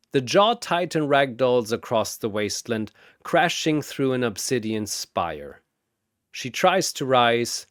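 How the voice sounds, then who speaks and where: A man delivers dramatic scripted battle narration at a slow, deliberate pace. His pitch swings widely, and the sound is close and dry. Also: no room sound.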